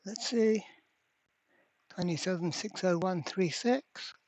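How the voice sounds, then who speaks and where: A repair channel host giving a casual hands-on demonstration at a workbench, halting and thinking aloud while working. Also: mid-range voice, darkish tone, slight background noise, poor audio. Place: indoor workbench.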